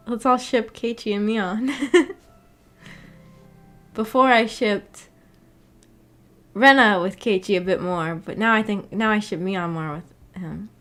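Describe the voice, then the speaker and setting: A woman's voice: mid-range voice, fairly bright tone. A woman hosting a casual livestream discussion, chatting in a rambling, giggly way. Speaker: streamer hosting a book club discussion.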